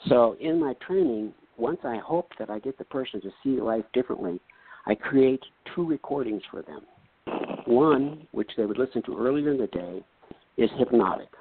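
A man's voice: mid-range voice, expressive, moderate pace, breathy edge, no echo. A man in his seventies from North Dakota, a retired doctor telling a personal story in a folksy, earnest way and acting out the things people said to him. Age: 70s. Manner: plain storytelling, folksy and earnest, acts out quoted exchanges. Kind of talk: personal story, casual talk. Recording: tinny phone-like line, dry.

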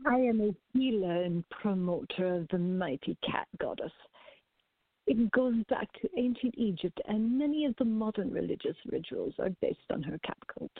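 A woman playing a mysterious, alluring cat-cult healer in a radio farce, formal and creaky-voiced, on a tinny recording. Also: medium pitch, animated, normal speed, no room sound. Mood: grandly mystical. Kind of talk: comic radio play acting. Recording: tinny, narrow phone-like sound.

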